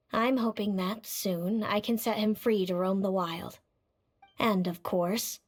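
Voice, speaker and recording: A woman playing a composed, caring elder sister in a video game, speaking in a calm, measured, gentle way. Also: medium pitch, close to an everyday voice. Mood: gentle, earnest. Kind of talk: scripted video game dialogue.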